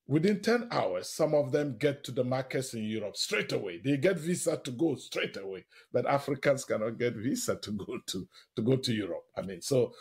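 A man with a slightly deep, husky voice speaks as a guest in a conversational interview. He is an animated storyteller, doubling back on words and often checking in with the listener. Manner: animated storyteller who repeats words and often tags phrases with "right?". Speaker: guest, professor.

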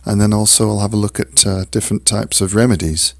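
Hoarse, low-voiced man hosting a podcast. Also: fairly even intonation, background noise. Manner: halting, many fillers and restarts. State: hoarse, croaky from a cold. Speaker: podcast host. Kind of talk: casual solo podcast talk.

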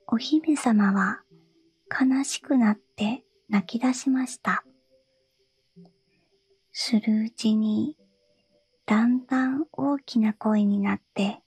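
A woman reading a fairy tale aloud in a soft, breathy whisper. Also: a little high, no room sound.

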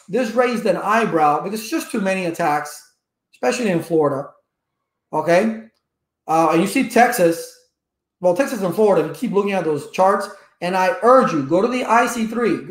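A man's voice: high-pitched, roomy sound. A man in his fifties, Cuban-born with Spanish as his first language, talking animatedly to viewers from a home office with a webcam. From Cuba. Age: 50s. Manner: animated, gestures a lot, rhetorical questions. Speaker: network engineering educator. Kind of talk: casual livestream talk to viewers. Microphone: webcam at desk.

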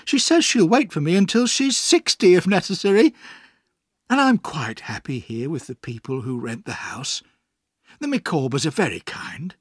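A man's voice: a little high, sing-song, moderate pace, breathy edge, dry recording, clean studio sound, pitched above the performer's own voice. A man voicing a meek, kindly and unlucky young man in an audiobook, earnest and mild. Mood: earnest, content. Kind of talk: audiobook character acting.

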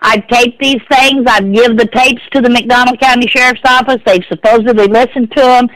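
A woman's voice: fairly high pitch, fairly even intonation, normal speed, fairly bright tone, dry recording. A middle-aged woman, speaking insistently and repeating herself in exasperation on a phone call. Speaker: prank call victim. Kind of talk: phone conversation.

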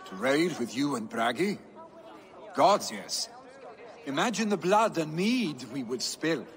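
A brash young Viking warrior from a video game drama. His voice sits a little high for a man and moves slowly and deliberately. He speaks with earnest, heartfelt weight, like a jarl's son. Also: sing-song, fairly bright tone, exaggerated voice. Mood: wry, eager.

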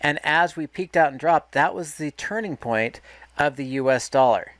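A man's voice: a little high, normal speed, a bit bright, no room sound. A man walking through a market analysis lesson over a screen recording, explaining step by step with restarts and self-corrections. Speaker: market educator.

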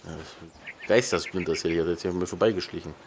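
A man's voice: deep voice, fast, noisy background. A man hosting a let's play, casually commenting, muttering to himself and reading out prompts. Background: game music and island sounds.

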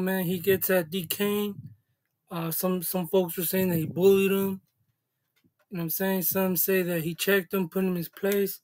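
A man in his thirties hosting a reaction video, talking in laid-back street slang in a small room. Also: high-pitched. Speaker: reaction channel host. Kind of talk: casual reaction commentary. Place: small indoor room, seated at a desk.

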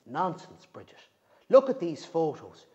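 A man voicing a bossy, scolding old banshee grandmother, raised and loud, on a dull lo-fi recording. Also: on the high side, huge pitch swings, a little slow. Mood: coaxing.

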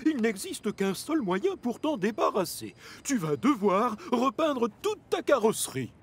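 A man's voice: extremely high pitch, sing-song, measured pace, husky, heavily acted. A man voicing a fussy, authoritative middle-aged railway controller in a children's cartoon, emphatic and bossy. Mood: appalled. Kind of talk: dubbed children's cartoon acting.

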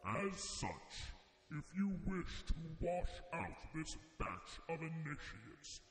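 A solemn, echoing cult elder. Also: male voice, a little high, big pitch swings, takes it slowly. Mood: stern, ceremonial. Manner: formal, ceremonial, stern. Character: solemn elder of a killers' brotherhood. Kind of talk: radio drama acting. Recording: echoey long hall reverb.

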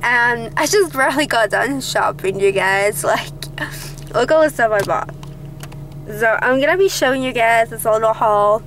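Chatty high-voiced young woman vlogging. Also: normal speed, a little hiss or hum, poor audio. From Utah, USA. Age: young adult. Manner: chatty, lots of "like" and "um", excitable. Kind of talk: casual vlog chat. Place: in a car, then a bedroom. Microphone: handheld camera at arm's length.

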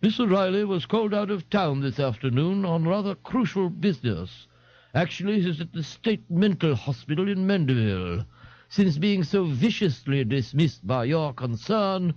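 Posh London gentleman, swooping pitch. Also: dry recording, dull audio. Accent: posh London English. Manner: crisply enunciated, haughty. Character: pompous fake-posh gentleman, an assumed telephone voice. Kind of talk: audiobook character voice.

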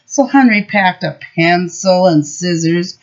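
A middle-aged woman teacher explaining simple words and reading a story aloud to young children, instructive and expressive. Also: on the low side, unhurried. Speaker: preschool teacher. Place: small room at home. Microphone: built-in webcam or laptop mic. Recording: dull, lo-fi webcam audio.

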